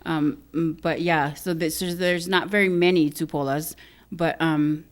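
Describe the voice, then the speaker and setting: A soft-spoken woman in her thirties from Hawaii, a guest on a podcast. Her voice sits on the low side and sounds smooth and resonant. She talks thoughtfully, at ease, with light laughs. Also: fairly even intonation, measured pace. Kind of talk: casual interview chat.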